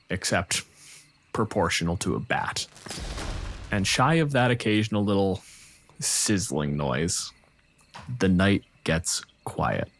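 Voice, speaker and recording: A man's voice: deep voice, fairly bright tone. A man improvising narration as the game master of a tabletop fantasy game.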